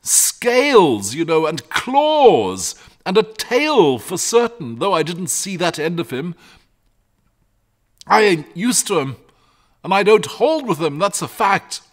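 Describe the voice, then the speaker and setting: A man reads as a fretful rustic shepherd from a storybook. The voice is pitched high and swoops about with agitation, rambling on like a frightened countryman telling his tale. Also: very animated, takes it slowly, heavily acted. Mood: awed, fretful. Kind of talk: storybook character reading.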